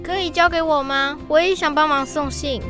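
Bright, high-pitched shy puppy boy. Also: steady tone, moderate pace, faint music behind. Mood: polite, eager. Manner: childlike, eager, sincere. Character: shy, earnest little puppy boy mail carrier. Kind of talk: children's audio drama acting.

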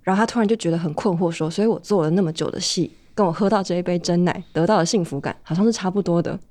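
A woman with a Taiwanese Mandarin accent telling stories in a casual, reflective chat, thoughtful and unhurried. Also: on the low side, a little mellow. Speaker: stage actor, podcast guest.

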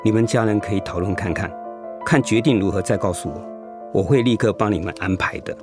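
A man acting in a radio drama as a gentle, sympathetic doctor who explains and consoles in a measured way. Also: fairly low pitch, over music, close to an everyday voice. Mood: gentle, regretful. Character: gentle male doctor, calm, sympathetic hospital director.

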